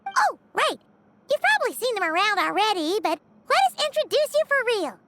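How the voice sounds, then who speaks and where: Tiny squeaky chirpy companion. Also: female voice, sing-song, moderate pace, heavy background noise. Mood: friendly. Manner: bouncy, exclaiming.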